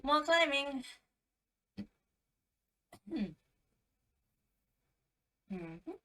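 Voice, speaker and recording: Slow, unhurried woman streaming a game. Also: high-pitched, sing-song, background noise. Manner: muttering reactions to herself. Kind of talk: casual gameplay commentary. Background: game music.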